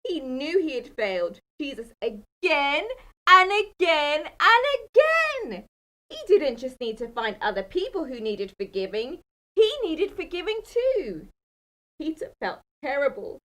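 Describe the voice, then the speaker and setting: A woman's voice: high voice, sing-song, unhurried, a bit bright. A woman telling a children's bedtime Bible story in a dramatic, emphatic manner. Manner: dramatic, emphatic, asks the listeners questions.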